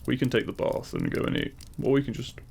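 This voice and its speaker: A man voicing a devoted, teasing demon king in an intimate romantic audio roleplay, speaking tenderly in courtly, formal phrasing with a gravelly voice. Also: medium pitch, huge pitch swings, fast talker, darkish tone, exaggerated voice. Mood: seductive, tender.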